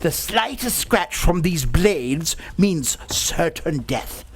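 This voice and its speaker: A man performing a scheming alien prince, drawing out his words with sly relish. His pitch swings widely from line to line, with faint noise underneath. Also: big pitch swings, slow pace, exaggerated voice. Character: scheming proud male prince of an alien world. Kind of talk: live amateur radio-play reading. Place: small museum stage, live audience.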